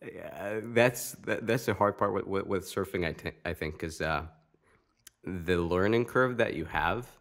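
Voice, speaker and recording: Low-voiced man hosting a chat. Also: measured pace, fairly bright tone. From Romania, raised in a Hungarian family. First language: Hungarian. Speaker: podcast host. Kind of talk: casual interview chat.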